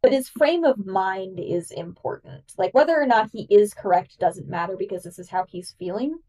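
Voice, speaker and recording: A woman's voice: mid-range voice, animated, normal speed, a little mellow, recorded from a distance, poor audio. A woman guest-hosting a podcast, talking through ideas in an eager, analytical way with lots of fillers. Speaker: guest host. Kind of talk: casual podcast discussion.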